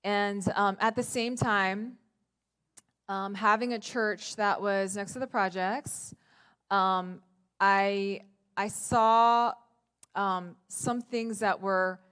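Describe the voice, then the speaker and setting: A woman's voice: medium pitch, clear, resonant tone. A young adult woman from the Philadelphia area, a guest speaker at a church, talking in an earnest, conversational way with frequent ums and reading scripture aloud. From United States, Philadelphia area. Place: church sanctuary.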